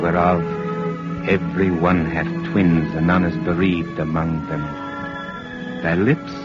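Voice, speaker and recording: An actor playing a solemn, adoring reciter of love verse, declaiming slowly in old-time radio style over music. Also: male voice, low-pitched. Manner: slow, declamatory. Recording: dull vintage radio recording, loud music. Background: loud music.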